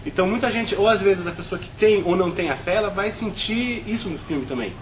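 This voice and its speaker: A man's voice: sits high, brisk, slight background noise. A Brazilian man on a film review panel, talking at length with frequent restarts and tag questions.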